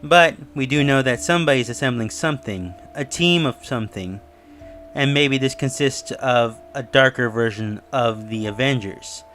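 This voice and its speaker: A man's voice: mid-range voice, moderate pace, a bit bright. A man giving casual, speculative video commentary in a musing, slightly rambling way as host. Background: music under the voice.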